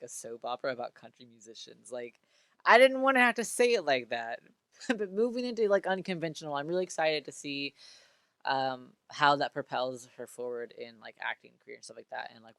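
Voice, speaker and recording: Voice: medium pitch, vocal fry, fairly bright tone, no room sound. Early-twenties male co-host, playful and conversational, close dry studio mic. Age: 20s. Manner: playful, ends points with "right?". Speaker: podcast co-host. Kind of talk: casual chat. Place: small studio room. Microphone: studio mic on stand.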